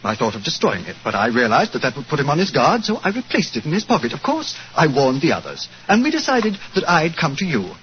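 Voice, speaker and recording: A man's voice: on the high side, huge pitch swings, fast talker, few pauses. An actor playing an anxious client in a 1940s radio mystery, telling his story in an earnest, urgent way. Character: anxious male client, cousin in a troubled household. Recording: dull lo-fi 1940s radio broadcast with faint hiss.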